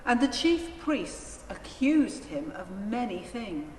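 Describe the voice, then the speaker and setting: A woman narrating a dramatised scripture reading in a solemn, deliberate manner. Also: medium pitch, very animated, a little mellow. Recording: echoey church, long reverb, faint noise.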